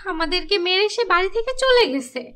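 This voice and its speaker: A woman's voice: very high-pitched, expressive, moderate pace, pushed and bright, full character voice. A woman voicing a scheming, self-pitying stepmother in a cartoon, whiny and melodramatic. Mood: accusing.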